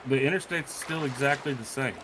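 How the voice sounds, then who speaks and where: Casual, blunt male streamer with a mid-pitched voice, swears freely, clear background noise. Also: a little fast, fairly bright tone, low-quality recording. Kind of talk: casual chat on a live stream.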